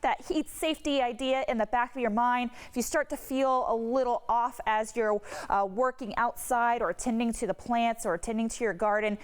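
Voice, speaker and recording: High-voiced woman presenting TV weather. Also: clear, resonant tone. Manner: conversational, explanatory, repeats words for emphasis. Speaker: TV meteorologist. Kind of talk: ad-libbed television weather forecast.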